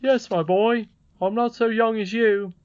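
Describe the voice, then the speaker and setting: Reader doing a tired kindly old miner dad, gentle n thoughtful, pitched up, kinda dull audio. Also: fairly even intonation, measured pace, clear, resonant tone, lightly acted. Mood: fond, weary. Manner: gentle, reflective. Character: weary, kindly aging miner father.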